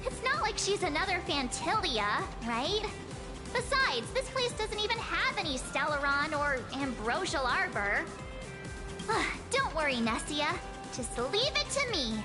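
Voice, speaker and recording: A very high-pitched, cutesy young anime game heroine. She is perky, impulsive and trusting, speaking unhurriedly with expressive, swinging intonation. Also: a little slow, music in the background. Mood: sympathetic, upbeat.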